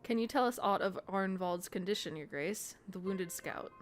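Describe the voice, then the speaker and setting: A woman's voice: medium pitch, soft background music, lightly acted. Woman reading as earnest, formal fantasy game adventurer. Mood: concerned. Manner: formal, measured, courtly.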